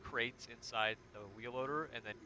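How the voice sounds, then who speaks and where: A man's voice: medium pitch, moderate pace, lo-fi sound. A man with a Michigan accent, chatting casually and laughing while playing a game with friends. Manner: relaxed, chatty, laughs easily. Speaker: host. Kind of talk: casual multiplayer gaming chat. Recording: noisy game capture.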